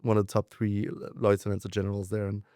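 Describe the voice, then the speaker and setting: A man in his twenties from Denmark, raised in southern France, telling his career story in a relaxed interview, with lots of fillers and restarts. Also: fairly low pitch, quick pace, no echo, clean studio sound. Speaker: guest, venture capitalist.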